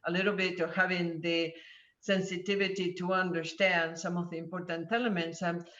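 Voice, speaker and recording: A woman's voice: on the low side, flat delivery, normal speed, a bit bright. Woman, 50s, Argentinian Spanish accent, roomy echo, muffled sound. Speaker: panelist, corporate sustainability executive. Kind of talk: panel discussion answer. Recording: echoey, muffled.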